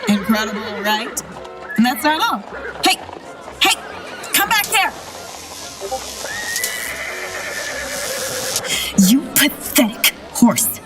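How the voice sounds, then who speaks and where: A woman's voice: a little high, big pitch swings, unhurried, crowd noise, rough recording. A woman playing a bombastic, shifty circus ringmaster in an audio drama, with grandiose, scornful showmanship. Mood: angry, indignant. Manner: grandiose barker delivery. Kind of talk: theatrical audio drama acting. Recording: music behind the voice.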